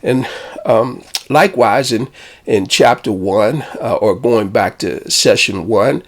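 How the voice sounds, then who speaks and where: A man, a spiritual teacher and author, reflecting on his own book in a measured, deliberate way with hesitant fillers. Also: fairly low pitch.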